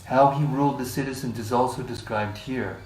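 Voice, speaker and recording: A man leading a scripture class, reading passages in a measured way and joking with the group between them. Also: medium pitch, steady tone, darkish tone. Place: room with a live group. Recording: echoey room, distant mic, faint hiss.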